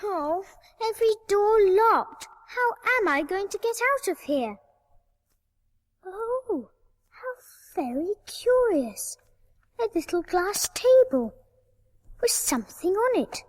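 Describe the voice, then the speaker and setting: Prim curious girl, very high voice, long reverb as a woman acts her. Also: sing-song, slow pace, pauses often, heavily acted. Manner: prim, wondering, well-spoken. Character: polite curious young girl. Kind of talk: storybook record acting.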